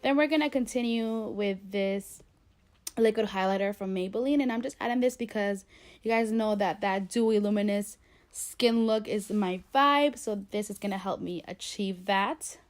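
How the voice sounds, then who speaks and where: A woman's voice: mid-range voice, normal speed. A young woman in her twenties, congested from a cold, chatting through a makeup tutorial in her bedroom with plenty of fillers. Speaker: beauty vlogger. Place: bedroom at home.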